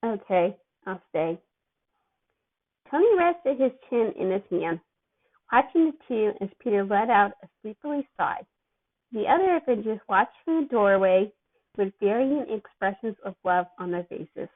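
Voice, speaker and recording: A woman giving an expressive amateur reading of a story as its narrator. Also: mid-range voice, normal speed. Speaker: amateur fan fiction reader. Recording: thin phone-like sound.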